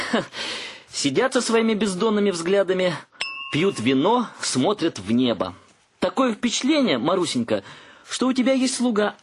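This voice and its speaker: A man's voice: sits high, breathy edge, heavily acted. An actor playing a sarcastic young son who grumbles and mocks his dreamy parents in a radio play. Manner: sardonic, exclamatory.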